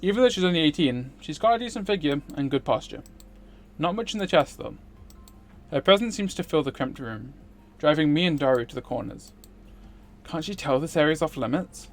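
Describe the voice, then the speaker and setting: A man's voice: on the high side, animated, a little fast. A man streaming a visual novel, reading its narration aloud in a dramatic style.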